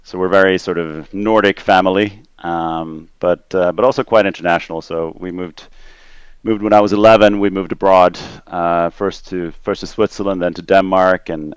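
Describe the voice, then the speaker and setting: A low-pitched man from Finland in middle age, guesting on a podcast and speaking over a remote line. His voice is smooth and resonant, and the sound is dry, with almost no room echo. He talks in a thoughtful, halting way and repeats words while he searches for phrasing. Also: steady tone. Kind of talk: casual interview conversation. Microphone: remote call line.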